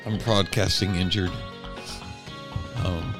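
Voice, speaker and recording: A man's voice: low-pitched, fairly even intonation, slow, music in the background. A middle-aged American man hosting a podcast, chatting casually with self-deprecating, jokey storytelling. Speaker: podcast host, stand-up comic. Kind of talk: casual humorous chat.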